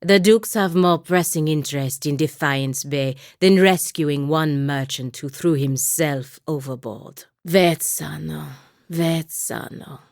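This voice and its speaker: A woman's voice: low-pitched, takes it slowly, creaky, dry recording, full character voice. An actress playing a stern, dutiful and proud paladin woman in a video game, with a dry, sardonic and commanding manner. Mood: cold, stern. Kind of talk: video game character dialogue.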